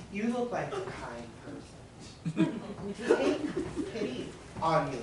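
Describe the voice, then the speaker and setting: A woman acting a frantic, complaining woman who pleads and insists at a bank, in a stage play. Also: mid-range voice. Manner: whining, theatrical, insistent. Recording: roomy hall from far off, faint noise.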